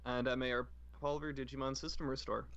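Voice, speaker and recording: A man's voice: medium pitch, level tone, a little fast, a bit bright, muffled recording. A man co-hosting a livestream podcast, talking casually over an internet call. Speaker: podcast co-host. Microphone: remote voice call microphone.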